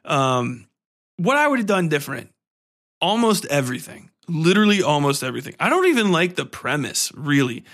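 A man's voice: on the high side, big pitch swings, brisk, a bit bright. A man hosting a solo podcast review, ranting casually with heavy swearing, slang and exasperated sarcasm. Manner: profane, irreverent, slangy. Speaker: podcast host reviewing a film.

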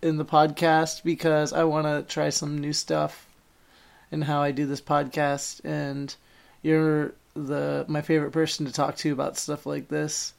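A woman's voice: sits low, level tone, unhurried, vocal fry, darkish tone. A woman hosting a podcast, interviewing a friend in a playful, teasing way. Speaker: podcast host. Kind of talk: casual interview chat.

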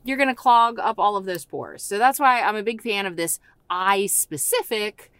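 A woman's voice: medium pitch, normal speed, fairly bright tone, clear, resonant tone, no room sound. A woman co-hosting a talk show, giving casual, candid advice in plain words with a joking streak. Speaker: podcast co-host and skincare adviser.

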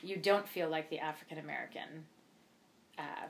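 Measured woman interviewer, lowish voice. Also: creaky, slight background noise. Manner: careful, measured, with soft backchannel hums. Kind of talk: interview questions.

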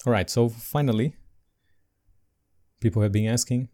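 A man with English as a second language, co-hosting a podcast in casual chat, animated and laughing between points. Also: medium pitch, moderate pace. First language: not English. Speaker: podcast co-host.

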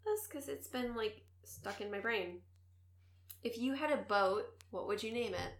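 A woman's voice: fairly high pitch, expressive, unhurried, reverberant. A woman with a Wisconsin accent, tipsy and chatting loosely as a podcast co-host, rambling and swearing freely. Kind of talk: casual chat.

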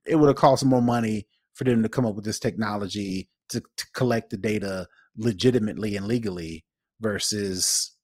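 A man co-hosting a podcast, giving casual, opinionated commentary with emphatic, deliberate phrasing. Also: fairly low pitch, darkish tone, no echo, studio quality. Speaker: podcast co-host.

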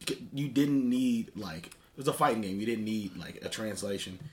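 A man's voice: on the low side, normal speed, a little hiss or hum. A man, rambling casually and swearing freely. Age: early 30s. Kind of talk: casual chat.

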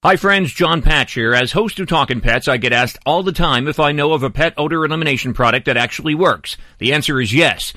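A man's voice: a little high, pushed and bright, dry recording. A man in his fifties hosting a pet call-in radio show, chatty and jokey, also reading his own ad. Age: 50s. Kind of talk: radio call-in talk and read ad.

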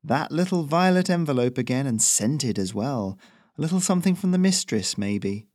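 A man's voice: lively intonation, moderate pace, darkish tone, close to an everyday voice. A man voicing a cheeky young male courier who rides a messenger goose, talking in a breezy, teasing way. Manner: breezy, teasing, high-spirited.

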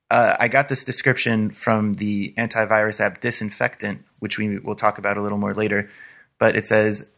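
A man co-hosting a conversational talk, explaining things in a measured, informative way. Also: on the low side, pushed and bright. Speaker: podcast co-host. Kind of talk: conversational retro computing commentary.

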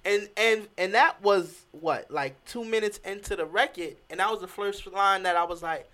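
A man's voice: high-pitched, normal speed, pushed and bright. A man co-hosting a casual podcast, giving emphatic opinions with plenty of fillers. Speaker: podcast co-host. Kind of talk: casual chat.

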